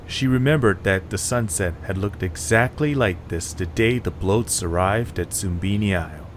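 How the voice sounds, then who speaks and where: A man narrating a story in a calm, even way. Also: fairly low pitch, slight background noise.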